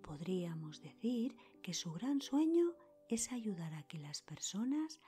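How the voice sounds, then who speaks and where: A woman whispering a scripted guided meditation in a soft, breathy, calm voice, leaving pauses between short phrases as a podcast host. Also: mid-range voice. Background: music.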